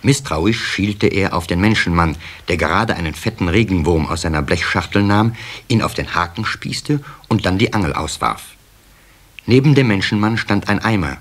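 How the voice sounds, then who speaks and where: A man's voice: sits low, expressive, normal speed, fairly bright tone. A man narrating a scripted children's story in a radio play.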